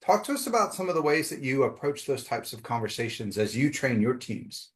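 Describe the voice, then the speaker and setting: A man's voice: medium pitch, big pitch swings, fairly quick. A man hosting a business podcast, asking long, rambling questions with frequent fillers and restarts. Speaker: podcast host. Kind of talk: interview questions.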